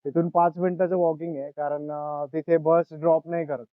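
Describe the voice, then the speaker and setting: A man's voice: a little high, flat delivery, moderate pace, smooth. A man chatting casually as a travel vlogger, updating viewers in a dull lo-fi recording. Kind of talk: casual vlog chat. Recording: dull lo-fi camera audio.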